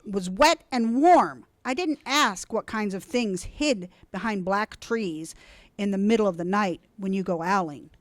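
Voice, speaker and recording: A woman reading a children's storybook aloud in an expressive, deliberate, dramatic way. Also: medium pitch, no echo. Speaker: storybook reader.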